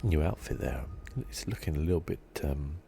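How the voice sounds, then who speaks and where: A man giving relaxed ASMR game commentary as a channel host, with soft, hesitant, musing asides. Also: rumbling low, normal speed, vocal fry, a little hiss or hum.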